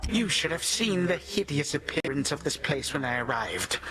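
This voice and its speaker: An actor playing an ancient god of time in a video game, a sinister, condescending old villain who speaks in pompous, archaic, theatrical phrases and savors each word. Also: male voice, fairly high pitch, sing-song, moderate pace, music in the background. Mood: haughty disdain.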